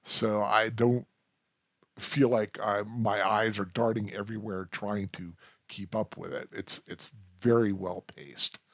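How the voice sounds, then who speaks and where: Male reviewer, slow and halting delivery, off-the-cuff ramble with emphatic stresses, slightly dull recording. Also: lowish voice, fairly even intonation, a bit bright, dry recording. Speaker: film reviewer. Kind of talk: unscripted rambling review to the listener.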